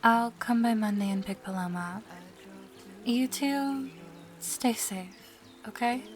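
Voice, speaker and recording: An actress playing a forgiving, gently cautious mother in an audio drama, with a careful, hesitant manner. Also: fairly high pitch, slow pace, soft background music. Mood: polite, cautious.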